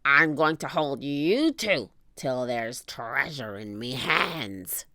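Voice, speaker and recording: A woman's voice: rumbling low, lively intonation, slow, bright, forceful tone, no echo, studio quality, voice pushed down, exaggerated voice. A woman voicing a horrible, bullying pirate captain in a children's storybook, growling and roaring orders in pirate talk. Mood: gruff, gloating.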